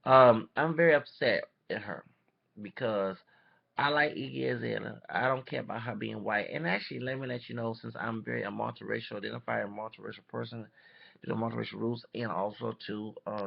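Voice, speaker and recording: Male vlogger, mid-pitched and full of vocal fry, halting casual commentary with restarts, muffled webcam sound. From Texas, United States. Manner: rambling, halting, repeats and self-corrects. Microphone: webcam microphone. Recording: dull lo-fi webcam audio.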